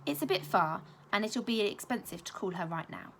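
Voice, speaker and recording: A woman voicing a gentle, patient storybook mum. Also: mid-range voice, animated, normal speed, slight background noise, natural voice. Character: gentle, patient mother, affectionate and thoughtful.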